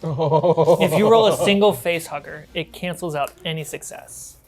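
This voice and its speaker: A woman's voice: low voice, a little slow, darkish tone. A woman narrating a tabletop horror role-playing game as the game master.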